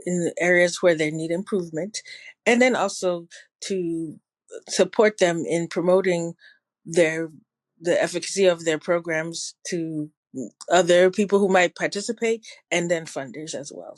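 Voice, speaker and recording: A woman guest in her field of public health, answering interview questions in a measured, thoughtful way with a slight Ghanaian-tinged accent. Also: fairly low pitch, dry recording. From New Jersey, family from Ghana. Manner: measured and thoughtful, with occasional restarts.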